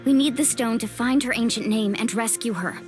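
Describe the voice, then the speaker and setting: A woman acts a determined young warrior in a video game. The voice is fairly high, earnest and resolute, over light music. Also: brisk, natural voice.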